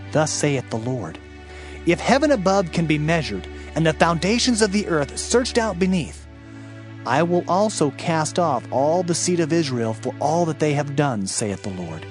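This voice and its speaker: Male audiobook narrator, fairly high register, solemn and declamatory scripture delivery, music underneath. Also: a little mellow. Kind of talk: dramatized audiobook scripture reading.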